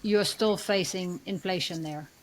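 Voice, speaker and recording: A woman's voice: lowish voice, unhurried, slight background noise, rough recording. A woman hosting a conversational interview, putting questions to a guest. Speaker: podcast host interviewing a guest.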